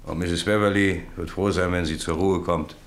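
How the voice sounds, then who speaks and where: Genial practical father, middle-aged man, deep bass voice, radio play, slight background noise. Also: steady tone, normal speed. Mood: matter-of-fact. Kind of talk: German radio play acting.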